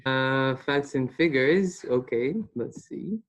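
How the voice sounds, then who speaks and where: A nineteen-year-old man from Palestine presenting a webinar as a student, hesitant and pausing often. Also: medium pitch. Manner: hesitant, with many pauses.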